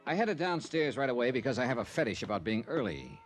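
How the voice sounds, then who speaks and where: An actor playing a wry, hard-boiled insurance investigator in a 1950s radio drama, with punchy, clipped delivery. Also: male voice, mid-range voice, sing-song, quick pace, close to an everyday voice. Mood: wry narrating. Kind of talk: 1950s radio detective acting and narration. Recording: old radio recording with music.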